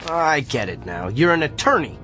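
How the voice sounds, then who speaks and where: A man's voice: fairly high pitch, very animated, normal speed, pushed and bright, soft background music. A man acting in a radio drama, playing an arrogant, self-assured and brusque doctor. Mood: defiant, dismissive. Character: brilliant, arrogant, tactless male doctor. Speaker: actor.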